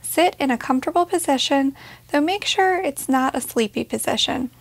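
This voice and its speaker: A woman leading a guided meditation in a gentle, measured way, leaving pauses between short phrases. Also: high voice, expressive, vocal fry, no room sound.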